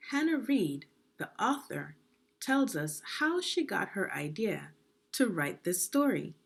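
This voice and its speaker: A woman reading a children's storybook aloud expressively, in a deliberate, teacherly manner. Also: medium pitch, vocal fry, slightly rough. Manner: deliberate, teacherly, asks the listener questions. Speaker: education consultant reading a children's book.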